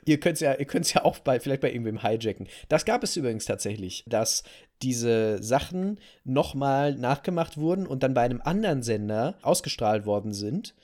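A German man with an average-pitched voice talks as a podcast co-host. He explains things in an informal, conversational style. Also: expressive, normal speed, a little mellow. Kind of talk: casual explanatory podcast chat.

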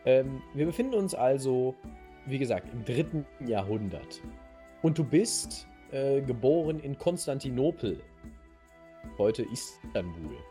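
A man hosting a podcast, telling a history story casually and playfully in a halting, slightly husky voice. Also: mid-range voice, sing-song, dark tone, soft background music. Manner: halting, playful storyteller addressing co-host directly. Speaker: podcast host.

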